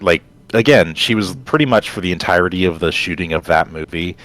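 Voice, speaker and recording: A man's voice: sits low, a little fast, fairly bright tone, poor audio. A man co-hosting a podcast in casual conversation, explaining things in a rambling, digressive way. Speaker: podcast co-host.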